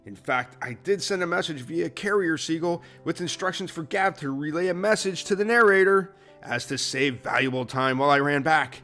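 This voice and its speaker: Castaway dude, played by a man: high male voice, earnest, self-important, slangy, comedy podcast. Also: animated, moderate pace, hardly any pauses, sharp tone, soft background music. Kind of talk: scripted comedy podcast acting.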